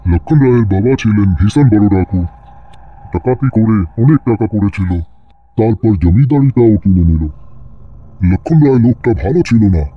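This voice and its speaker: A man voicing an old male servant, gravely telling an eerie tale in a cartoon horror story. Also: on the low side, expressive, moderate pace, muffled recording. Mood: grave, ominous. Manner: grave, storytelling, Hindi-mixed Bengali wording.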